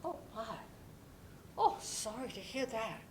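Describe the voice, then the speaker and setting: Kooky motherly older woman, played by an actress, high-pitched, unhurried, gentle, fussing, stage play reading. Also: very animated. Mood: sympathetic. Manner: slow, fussing, full of endearments. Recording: faint background noise and rumble.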